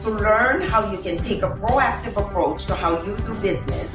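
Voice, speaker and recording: A woman reads a scripted commercial in a measured, reassuring tone. She sounds set back from the mic in an echoey space, with clear music behind her. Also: medium pitch, expressive. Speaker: commercial voice-over. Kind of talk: scripted ad read. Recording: distant echoey read over music.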